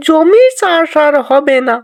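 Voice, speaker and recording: A woman's voice: high-pitched, lively intonation, slow pace, creaky, slight background noise, heavily acted. A woman voicing a cautious, nagging child who frets through a children's cartoon fairy tale. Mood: scolding. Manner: fretful, nagging. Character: cautious, scolding child, a nervous companion in mischief.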